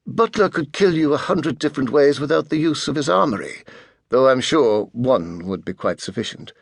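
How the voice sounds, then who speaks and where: A man voicing a pale, testy adolescent mastermind in a soft, clipped voice, speaking formally and with authority in an audiobook. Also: medium pitch, expressive, normal speed, vocal fry, no room sound, studio quality. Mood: cool, menacing. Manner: clipped, precise, formal speech.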